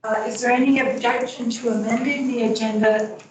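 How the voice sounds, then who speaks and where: A woman chairing a board meeting, running formal procedure in a deliberate way with frequent hesitations. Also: fairly high pitch, steady tone, a little hiss or hum. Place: school board meeting room. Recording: distant mic in an echoey meeting room.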